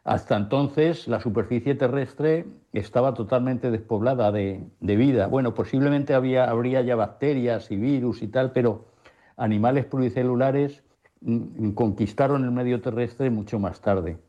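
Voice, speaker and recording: A man's voice: medium pitch, normal speed, highs cut off. A man giving an informal explanation of science news as a panelist, tentative and checking in with his listeners.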